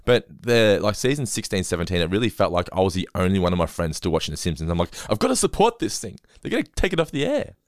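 A man hosting a casual podcast chat, talking quickly and laughing often. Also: sits low, big pitch swings, dry recording, clean studio sound. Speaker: podcast host.

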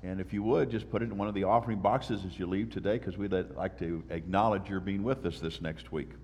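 A pastor with a congested voice from a sinus infection welcomes the congregation in church and leads them in prayer with earnest, steady delivery. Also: male voice, low-pitched, quick pace, slight background noise. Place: church sanctuary. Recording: long church-hall reverb.

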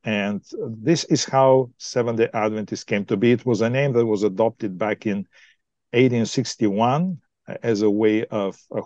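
A man with a Bulgarian accent, a pastor explaining his church thoughtfully in a podcast interview, pausing often to find words. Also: fairly low pitch, warm tone, no room sound. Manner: explanatory, thoughtful, frequent hesitations. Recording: dry, dull lo-fi sound.

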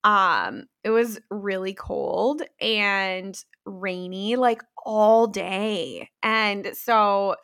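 A slightly hoarse woman co-hosting a casual podcast, rambling along in conversational chat. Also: mid-range voice, drawn-out words, few pauses, fairly bright tone. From Pennsylvania. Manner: rambling, many "like" fillers. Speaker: podcast co-host.

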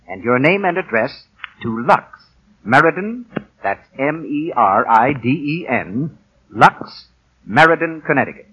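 A man's voice: medium pitch, breathy edge, highs cut off. A man announcing for a sponsor on 1940s radio, with a polished, emphatic sales delivery, on an old broadcast recording.

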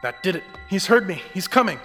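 A man's voice: sits high, big pitch swings, fairly quick, bright, forceful tone, exaggerated voice. A man playing a bold, suave crime-fighting investigator in an old-time radio drama, speaking with theatrical confidence. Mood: bold, urgent. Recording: long reverb with faint music.

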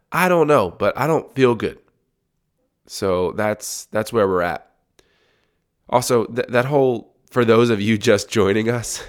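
Congested man with a deep voice. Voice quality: deep and resonant. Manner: relaxed, reflective, repeats words as he restarts. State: congested with a cold. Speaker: podcast host. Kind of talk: solo podcast monologue. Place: home recording room.

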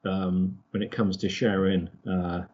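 A man hosting a casual fan podcast in a hesitant, halting way, on a dull lo-fi recording. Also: low voice, little pitch movement, a little mellow. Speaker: podcast host. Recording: dull lo-fi audio.

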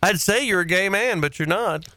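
A man's voice: high-pitched, normal speed, pushed and bright, dry recording. A man hosting a radio show with casual, joking banter and a teasing manner, recorded in a studio.

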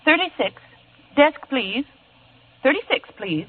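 A woman's voice: sits high, big pitch swings, takes it slowly, slightly rough, heavily acted. An actress playing a prim, stiffly polite woman receptionist at a beauty salon, in 1950s radio drama style. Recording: tinny old radio broadcast with hiss.

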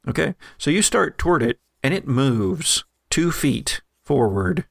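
A man runs a tabletop game as its game master, speaking at a middling pitch with a gravelly creak. He improvises scene descriptions directly to the players, pausing and picking his way through them. The sound is close and dry. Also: sing-song, no room sound.

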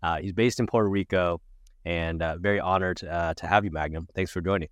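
A man's voice: low voice, steady tone, brisk, no echo. A man hosting a podcast, talking in an easygoing way with many hesitations. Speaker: podcast host. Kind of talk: casual interview talk.

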